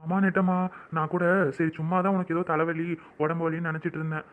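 A man's voice: a little high, little pitch movement, quick pace, natural voice. A man playing a fussing young husband. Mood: teasing. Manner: fussing, reassuring. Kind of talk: home-made skit acting. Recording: tinny phone-like sound.

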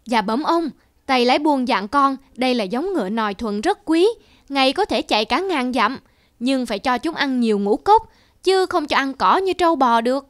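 A woman voicing a deferential male servant in an animated folk tale, respectful and explanatory. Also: high voice, normal speed, no room sound, studio quality. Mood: respectful, wary.

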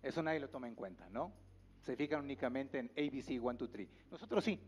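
A middle-aged man giving a solemn, deliberate, florid speech into a handheld microphone. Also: medium pitch, lively intonation, breathy edge. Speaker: academy director. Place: seated in TV studio audience. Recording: dull sound, faint music behind.

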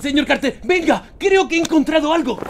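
A man's voice: very high-pitched, animated, brisk, vocal fry, exaggerated voice. An actor playing a deferential, plain-spoken male dig worker in an audio drama, polite and hesitant. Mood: excited. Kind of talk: Spanish-language audio drama acting.

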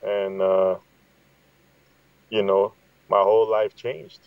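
A man's voice: fairly low pitch, level tone, takes it slowly, smooth. A middle-aged man from Guatemala telling reflective, conversational stories as a podcast guest over a video call. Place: inside a parked vehicle. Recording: slightly dull, roomy sound.

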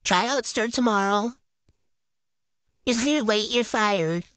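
A woman's voice: a little high, slow pace, fairly bright tone, no room sound. Woman doing a bratty ditzy noob gymnast. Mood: bossy. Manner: valley-girl drawl, silly and boastful. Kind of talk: improvised game roleplay voiceover.

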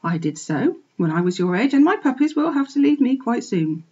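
A woman voicing a motherly female collie who is kind and firm, speaking gently and soothingly. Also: on the high side, very animated, a little fast, husky, dull audio, voice pushed up. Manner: gentle, soothing, calls the piglet dear.

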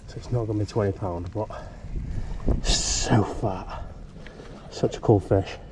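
A man's voice: on the low side, fairly even intonation, a little slow, a little mellow. A young man chatting upbeat to camera while fishing on a windy riverbank, picked up by an action camera. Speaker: fishing vlogger. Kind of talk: casual outdoor commentary. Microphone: action camera on body or tripod.

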